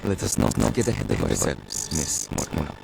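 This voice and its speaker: A man playing a grave, cautious wizard professor in a video game, with formal, measured speech. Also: lowish voice, expressive, creaky, poor audio. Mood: cautioning. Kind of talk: video game cutscene acting.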